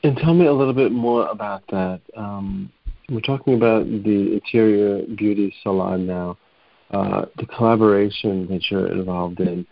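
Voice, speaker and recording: Hesitant low-voiced male interviewer. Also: moderate pace, gravelly, no room sound, dull audio. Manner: hesitant, with many ums. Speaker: interviewer host. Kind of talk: conversational interview.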